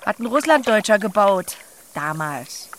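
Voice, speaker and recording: An actress playing an earthy, unflappable pig farmer in an audio drama, with a plain, dry manner. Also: female voice, medium pitch, sing-song, measured pace, a bit bright, a little hiss or hum. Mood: dry, unfazed. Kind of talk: German audio drama acting.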